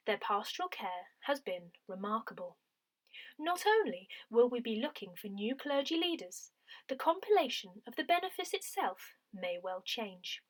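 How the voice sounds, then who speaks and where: A woman reading a scripted parish newsletter aloud in a deliberate, clearly phrased announcing manner. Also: fairly high pitch, sing-song. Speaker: newsletter reader.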